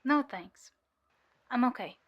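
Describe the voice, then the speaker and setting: A woman playing a weary, sharp undercover cult infiltrator giving hesitant, measured testimony in an audio drama. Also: fairly high pitch, expressive, highs cut off. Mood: guarded, dismissive.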